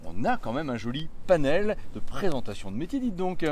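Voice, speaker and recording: A man's voice: on the high side, very animated, brisk, darkish tone. A man hosting a live recruitment interview outdoors, upbeat and chatty, with plenty of hesitation fillers. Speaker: host.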